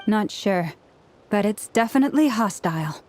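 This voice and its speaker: A woman voicing a gentle, formal priestess in a video game, speaking in a composed, courteous and measured way. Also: mid-range voice, animated, faint music behind, close to an everyday voice. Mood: wary.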